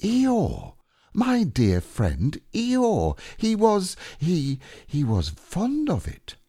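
A man's voice: high voice, huge pitch swings, extremely slow, mellow, slightly rough, no echo, clean studio sound, voice pushed up, full character voice. A man voicing a humble, dreamy storybook bear of very little brain, hesitant and wistful. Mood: solemn, sad. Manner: hesitant, wistful, simple-minded. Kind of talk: storybook character reading.

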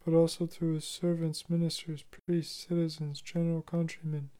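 A voice reading listener comments aloud in an even, measured way. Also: mid-range voice.

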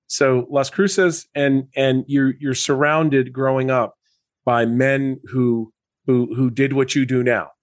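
A man hosting a podcast, reading a scripted intro and asking questions haltingly with fillers. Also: mid-range voice, fairly even intonation, no echo, clean studio sound. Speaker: podcast host.

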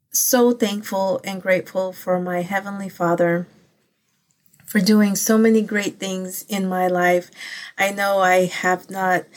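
A woman born in the Philippines, whose first languages are Pangasinan and Ilocano, talking straight to her channel in a casual faith vlog. She speaks earnestly and from the heart, wandering through her thoughts with plenty of ums and restarts in a steady mid-range voice. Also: medium pitch. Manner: earnest and heartfelt, rambling with many fillers and restarts. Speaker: channel host.

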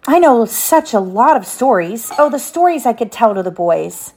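A woman voicing a polite, excitable young girl full of wonder, with a girlish voice, in a storybook read aloud. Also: a little high, normal speed, voice pushed up. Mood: excited, pleading.

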